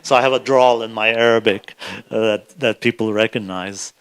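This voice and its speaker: A man from South Lebanon, an author, talking in a halting way with many restarts before an audience in a room. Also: on the low side, steady tone, low-quality recording. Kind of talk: conversational author talk at a book event.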